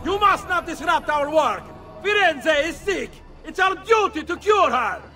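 An actor voicing a fanatical, imperious zealot enforcer in a video game, with a forceful, declamatory delivery. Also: very high voice, animated, measured pace, music in the background, a crowd in the background, heavily acted. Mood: zealous. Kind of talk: video game villain acting.

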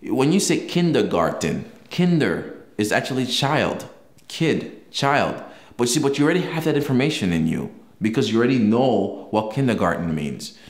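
A man's voice: medium pitch, big pitch swings, moderate pace, echoey room. A young man whose native language is Yoruba, hosting a casual language-learning video and explaining things with examples and frequent restarts. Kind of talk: casual talk to camera.

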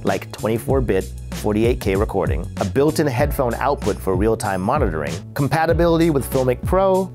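Low-voiced American man presenting a review. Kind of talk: scripted review narration. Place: studio.

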